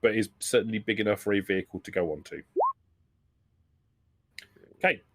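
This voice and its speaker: A man's voice: fairly low pitch, a bit bright, slight background noise. A man narrating a tabletop game as game master, improvising and often rephrasing as he goes. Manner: hesitant, halting, often rephrasing.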